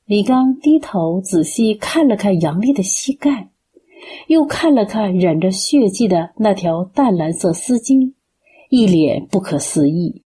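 一位女性旁白，照着稿子讲述广播剧故事。. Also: female voice, medium pitch, lively intonation, unhurried, dark tone, slightly rough. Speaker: narrator. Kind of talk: scripted narration of an audio drama.

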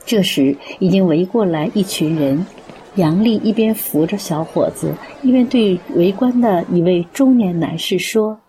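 A woman narrates a scripted radio drama. Her voice is soft-edged and dark, set a little low. Faint ambient noise sits behind her. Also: unhurried, some chatter behind, low-quality recording.

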